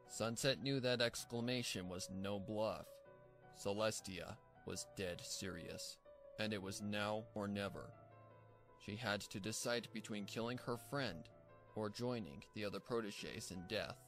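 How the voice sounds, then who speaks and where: Guy narrating a story from a script, lowish voice, faint music behind. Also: a little slow, fairly bright tone.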